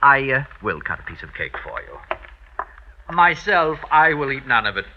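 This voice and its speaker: Excitable, oily Frenchman, swooping pitch. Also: measured pace. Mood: ingratiating. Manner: theatrical, ingratiating, falsely reluctant. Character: oily, excitable, insinuating male friend in 1840s France. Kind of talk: old-time radio drama acting. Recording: dull, noisy old radio recording.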